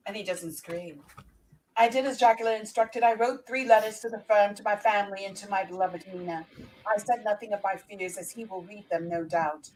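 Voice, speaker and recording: A woman playing an earnest young English solicitor held captive, reading his journal gravely and dramatically for a radio play. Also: mid-range voice, fairly quick, a little mellow, slight background noise, lightly acted, low-quality recording. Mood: grave dread.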